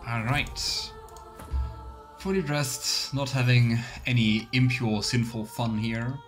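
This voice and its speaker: A man gives casual let's play commentary as a game streamer. He talks slowly at a middling pitch, musing aloud and slipping in small role-play asides. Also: fairly even intonation, faint music behind. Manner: musing, thinking out loud.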